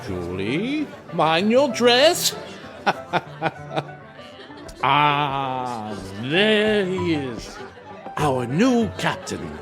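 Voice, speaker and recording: An actor playing a genial, ceremonious middle-aged merchant hosting guests in an audio drama, with music underneath. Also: male voice, on the high side, wildly swooping pitch, drawn-out words, pauses often, a crowd in the background, full character voice. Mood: jovial, welcoming. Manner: hearty, ceremonious, chuckling. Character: jovial, genial wealthy merchant host, proud Monsieur Morel.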